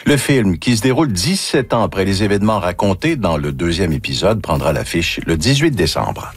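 Low husky male news anchor. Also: very animated, normal speed, no room sound. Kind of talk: scripted news reading.

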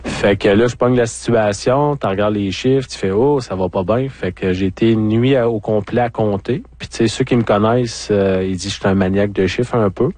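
A man with a Quebec French accent speaks in a low voice, recorded dry. He is an interview guest recounting his own experience in a candid, colloquial, conversational way. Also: fairly quick, few pauses, a little mellow, dry recording. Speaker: interview guest, former farmer. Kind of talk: conversational personal storytelling.

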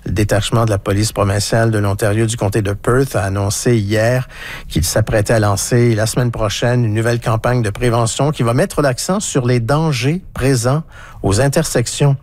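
A man's voice: mid-range voice, steady tone, a little mellow. A man hosting a morning radio show, interviewing a guest and then reading the news. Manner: measured, asks questions then reads news. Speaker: radio host.

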